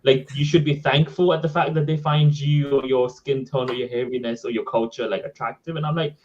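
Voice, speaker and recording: A man whose first language is Sindhi tells animated stories in an exasperated way. The recording sounds slightly dull and lo-fi. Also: medium pitch, a little fast. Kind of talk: casual chat.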